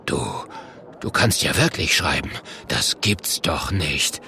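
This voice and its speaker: A man voicing a greedy, bossy old monster showman in a children's story, grumbling and calling out in a drawn-out way. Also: mid-range voice, sing-song, pushed and bright, a little hiss or hum, exaggerated voice. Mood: suspicious, mocking.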